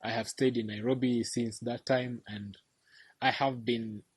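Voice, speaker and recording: A young man with a Kenyan accent, a guest telling his life story in a casual interview, speaking softly and haltingly with many fillers. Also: mid-range voice, flat delivery, husky, no echo. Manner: soft-spoken, hesitant, many fillers and restarts. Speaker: guest, documentary photographer.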